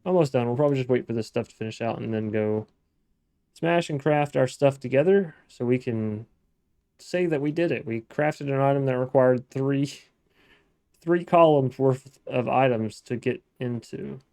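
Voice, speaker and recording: A man's voice: medium pitch, moderate pace, darkish tone, dry recording. A man hosting a gameplay video, talking casually to himself as he plays, thinking aloud with restarts. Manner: chatty, thinking aloud, frequent restarts and self-talk. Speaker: gaming let's-play host.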